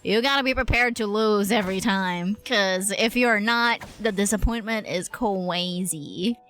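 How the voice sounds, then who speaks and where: A woman's voice: mid-range voice, a little slow, a bit bright. A young woman livestreaming a game, chatting casually and slangily with viewers. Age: young adult. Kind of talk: casual chat while gaming. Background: game music.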